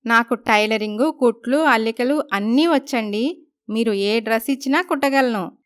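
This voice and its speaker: A woman playing a clear-voiced, earnest young daughter. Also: on the high side, normal speed, natural voice. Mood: polite, earnest. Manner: polite, earnest, reassuring. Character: dutiful, polite young daughter seeking work. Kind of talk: dramatised moral story voice acting.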